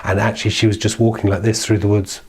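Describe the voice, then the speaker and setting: A man's voice: on the low side, little pitch movement, fast, a little mellow, slightly rough. A man telling a children's story in an informal, improvised way, chatty and playful, with repeated phrases.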